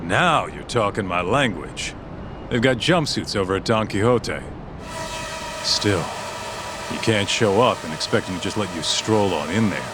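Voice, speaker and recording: Sarcastic sidekick with lively swoops. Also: male voice, fairly low pitch, a little slow, noisy background. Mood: amused, sarcastic. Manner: slangy, bantering, skeptical. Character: sarcastic, cautious male sidekick, streetwise and casual. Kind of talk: English game dub acting.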